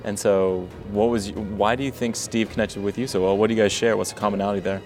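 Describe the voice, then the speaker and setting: A man with a fairly low voice asking questions quickly and casually at a convention. He often trips over his words and starts again. Faint music hums underneath, and his pace stays brisk. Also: fairly even intonation, a little mellow. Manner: quick, friendly, stumbling restarts. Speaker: interviewer. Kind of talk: casual interview. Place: convention floor.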